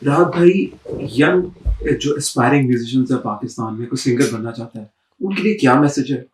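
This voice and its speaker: Eager interviewer in a roomy space. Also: male voice, medium pitch, animated, brisk, far from the mic. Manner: familiar, eager questioning. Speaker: podcast host. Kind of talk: casual interview questions. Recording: roomy, from off-mic.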